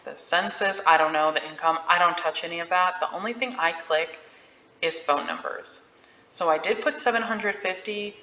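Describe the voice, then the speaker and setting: A woman giving a casual, candid software walkthrough in an informal, unscripted way. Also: sits low. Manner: informal, candid about not being an expert. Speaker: realtor giving a software tutorial. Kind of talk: casual screen-share walkthrough. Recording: dull, roomy-sounding audio.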